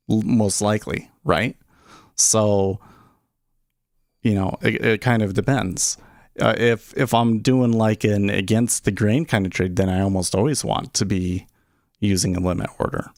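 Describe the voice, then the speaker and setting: Low-voiced man chatting on a trading livestream. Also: darkish tone, no echo, studio quality. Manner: conversational, often checks in with "right?". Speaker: livestream host, day trader. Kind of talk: casual livestream chat, thinking aloud.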